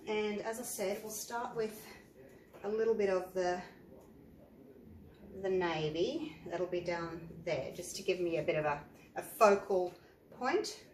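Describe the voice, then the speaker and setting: Aussie lady teaching painting, kinda croaky, chatty, echoey room with a bit of hiss. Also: mid-range voice, slow, pauses often, a little mellow. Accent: Australian. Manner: chatty, explaining step by step. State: croaky from flu. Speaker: art teacher. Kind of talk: tutorial narration. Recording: echoey room, faint noise.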